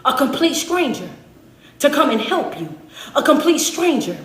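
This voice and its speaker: Woman motivational speaker, higher voice, emphatic preaching delivery, roomy echo. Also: very animated, moderate pace. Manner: emphatic, repeats refrains like "understand that". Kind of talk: preaching-style motivational talk.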